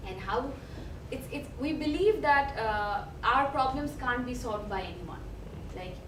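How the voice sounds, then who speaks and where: A woman's voice: on the high side, lively intonation, measured pace. A young woman from Bangladesh, an activist speaking on a panel, hesitant with many fillers and restarts. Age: young adult. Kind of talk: panel talk. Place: event hall. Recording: echoey room, from far off, noisy.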